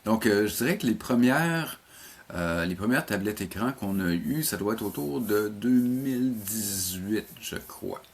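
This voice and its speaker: A middle-aged man with a Quebec French accent, an interview guest explaining things in a measured way and rephrasing as he goes. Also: fairly low pitch, lively intonation, breathy edge. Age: middle-aged or older. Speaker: interview guest, animation teacher.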